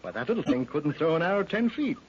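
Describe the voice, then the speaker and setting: A man's voice: on the high side, sing-song, fast talker, breathy edge. An actor playing a jovial, boastful male expedition leader in a 1930s radio drama. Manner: jovial, boastful, then sheepish. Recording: dull 1930s radio recording, faint hiss.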